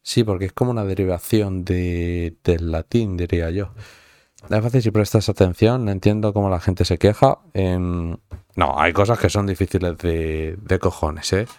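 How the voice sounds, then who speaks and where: A man's voice: low-pitched, fairly even intonation, moderate pace, darkish tone, no echo. A young man from Madrid with a Madrid Spanish accent, chatting casually with viewers in a rambling, profane way full of fillers and restarts. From Madrid, Spain. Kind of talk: casual chat.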